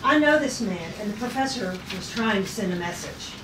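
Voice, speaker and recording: A woman's voice: medium pitch, lively intonation, normal speed, lightly acted. A woman reading the part of an earnest, feisty young sci-fi navigator at a table read. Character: earnest, feisty twenty-four-year-old female navigator in sci-fi. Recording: noisy echoey room, distant mic.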